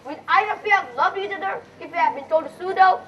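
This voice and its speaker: A woman's voice: very high voice, quick pace, muffled recording. A young woman playing a scheming, bickering father in an amateur stage farce. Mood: theatrical, resigned. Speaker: student actor. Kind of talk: amateur stage play acting. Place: school stage, recorded from afar. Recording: distant mic, noisy room.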